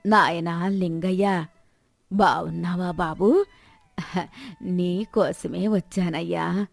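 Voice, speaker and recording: A woman's voice: fairly low pitch, steady tone, slow pace, gravelly, no room sound, full character voice. Woman acting a shrewd old village woman. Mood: pleading, plaintive. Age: older. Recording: background music bed.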